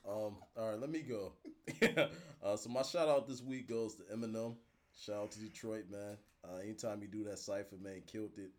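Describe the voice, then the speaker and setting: A man's voice: on the low side, fairly even intonation, normal speed. A young man co-hosting a casual podcast, talkative and brash. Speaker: podcast co-host.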